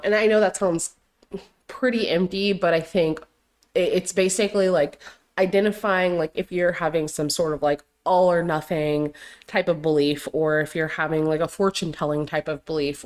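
A young adult woman hosting a casual solo podcast, talking loosely with lots of fillers, her voice going a little raspy. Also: deep voice. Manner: rambling, heavy on fillers and "like". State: slightly raspy voice. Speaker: podcast host.

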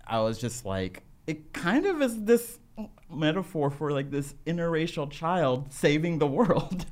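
A man's voice: a little high, sing-song, a little slow, darkish tone. A man co-hosting an interview, casual and joking, asking rambling questions. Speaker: podcast co-host.

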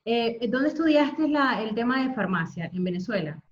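A woman host with a somewhat high voice asks her guest questions in a friendly, enthusiastic way. The sound is a bit dull and lo-fi, as over a video call. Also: lively intonation, normal speed. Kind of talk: conversational interview.

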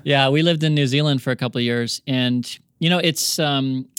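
A man's voice: mid-range voice, moderate pace, a bit bright, smooth, no echo, studio quality. An American man hosting a podcast interview, chatting casually and joking often. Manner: jokey, laughs often, easygoing. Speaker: podcast host.

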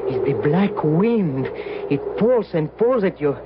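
An actor playing a servile Spanish manservant in an old-time radio drama, deferential and emotional. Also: male voice, fairly high pitch, expressive, takes it slowly. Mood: anguished, nervous. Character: jittery, servile young Spanish manservant, swinging to cheerful. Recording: dull noisy vintage radio recording.

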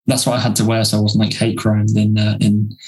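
A man's voice: lowish voice, completely flat delivery, brisk, a little mellow, soft background music, low-quality recording. A man with an English accent co-hosting a podcast in casual, joking chat with a self-deprecating streak. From England. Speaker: podcast co-host.

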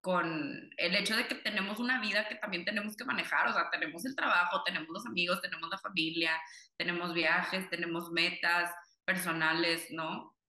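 A woman's voice: on the low side, steady tone, normal speed, pushed and bright. A young woman from Cuba, raised in Monterrey, chatting casually with filler-heavy, rambling reflections. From Cuba, raised in Monterrey, Mexico. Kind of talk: casual conversation. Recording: echoey room.

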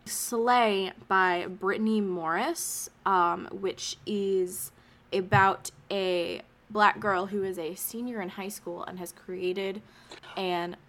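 Bright-voiced chatty young woman. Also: medium pitch, fairly even intonation, slow pace. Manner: chatty, laughs often, sniffly from allergies. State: stuffy allergies. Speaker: podcast co-host. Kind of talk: casual podcast chat.

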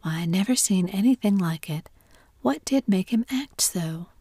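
A woman whispering the lines of a stern but soft-hearted old aunt in a storybook reading. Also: medium pitch, lively intonation, moderate pace, breathy edge, no room sound. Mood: scolding.

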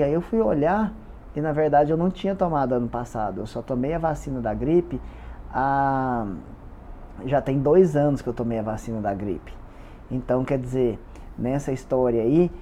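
Guy with a blocked nose, mellow voice, chatty vlog, faint hiss behind. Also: medium pitch. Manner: chatty, repeats words for emphasis. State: congested, stuffy nose. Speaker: vlogger documenting a fitness challenge. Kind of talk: casual confessional vlog.

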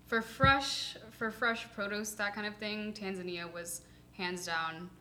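Bright-voiced woman chatting casually. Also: mid-range voice, fairly even intonation, measured pace, a little hiss or hum. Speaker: conversation participant. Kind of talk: casual chat.

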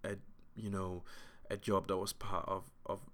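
A man's voice: deep voice, level tone, measured pace, fairly bright tone. A man in his twenties hosting a solo podcast, talking in an earnest, reflective way with many fillers and restarts. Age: 20s. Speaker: podcast host.

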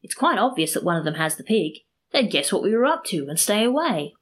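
Storybook part: sly scheming man, mocking and falsely sincere, medium pitch read by a woman. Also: very animated, brisk. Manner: teasing, mock-sincere.

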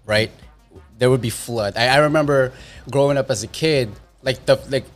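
A man's voice: medium pitch, normal speed, faint music behind. A man in his thirties from the Philippines, chatting casually about growing up, rambling and correcting himself as he goes. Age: 30s. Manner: rambling, self-correcting storyteller. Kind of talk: casual chat.

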